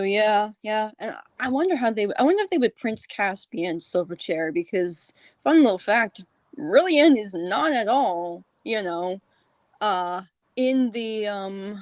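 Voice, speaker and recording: Woman, podcast host, mid-pitched voice, giggly, rambling, halting delivery, casual chat, close dry recording. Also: animated, a little mellow, dry recording. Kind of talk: casual, jokey interview chat.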